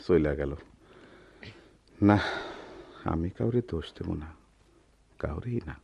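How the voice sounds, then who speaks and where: A patient, poor middle-aged goldsmith in a radio play. His voice is fairly low, with a gentle, earnest, philosophical manner and a rural Bangladeshi accent. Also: expressive, slow, creaky, slightly rough, dry recording, exaggerated voice. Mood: tender, resigned. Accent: colloquial rural Bangladeshi Bengali. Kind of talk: radio drama acting.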